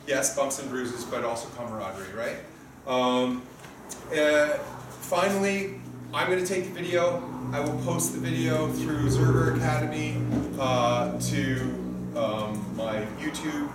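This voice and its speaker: A man in his fifties giving an informal coaching briefing to a group as organizer and referee, often checking in with short tag questions. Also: a little high. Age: 50s. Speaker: sparring event organizer and referee. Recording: echoey, distant room recording with noise.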